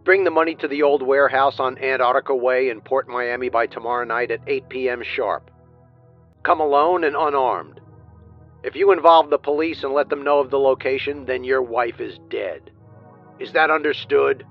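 A man's voice: mid-range voice, fairly even intonation, moderate pace. A man playing a stern, demanding kidnapper in an audio drama, threatening through a phone filter. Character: threatening male kidnapper making a ransom phone call. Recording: dull phone line, faint music behind.

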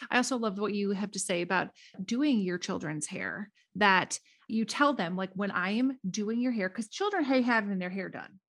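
A woman hosting her own podcast, chatting warmly and enthusiastically with a guest. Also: medium pitch, lively intonation, moderate pace, hardly any pauses. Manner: enthusiastic, affirming. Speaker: podcast host. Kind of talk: casual interview chat.

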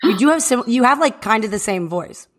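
A woman co-hosting a podcast from home, joking and riffing through casual banter with quick asides. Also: a little high, expressive, a bit bright. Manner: jokey, riffing, rapid asides. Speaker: podcast co-host. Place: home in Texas.

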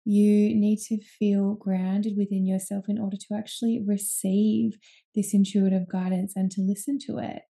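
This is a young woman hosting a solo podcast. Her voice is very dark and mellow, with a gravelly edge of fry, and she talks in a loose, confiding way, full of ums and restarts. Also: mid-range voice, flat delivery, moderate pace. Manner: chatty and candid, many ums and restarts. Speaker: podcast host. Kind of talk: casual solo podcast talk.

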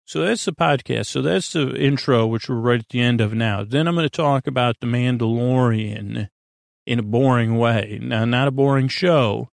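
A man hosting a podcast, delivering a meandering, halting bedtime monologue in a reassuring, gently encouraging way, often trailing off and doubling back. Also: mid-range voice, vocal fry, no room sound, clean studio sound. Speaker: podcast host. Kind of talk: rambling bedtime monologue meant to help listeners sleep.

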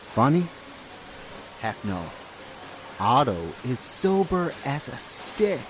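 A man's voice: medium pitch, sing-song, slow pace, rough recording. A man acting a plain, good-natured, folksy factory worker in a 1940s-style radio drama. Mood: puzzled. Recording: clear background noise.

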